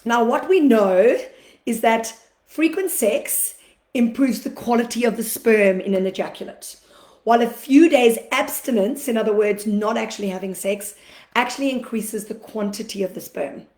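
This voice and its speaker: A woman from Australia hosting a live question-and-answer session as an acupuncturist, chatty, greeting viewers as they join, her voice a little croaky and clearing her throat now and then. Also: on the high side, moderate pace. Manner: chatty, warm greetings to viewers, many fillers. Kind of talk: casual livestream talk. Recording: echoey room livestream.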